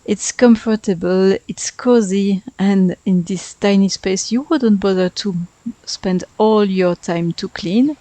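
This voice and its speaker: French-accented woman, unhurried, dry close voice-over, chatty and self-deprecating. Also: medium pitch, mellow, dry recording, rough recording. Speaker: Sims speedbuild YouTuber. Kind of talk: voice-over narration over a game build.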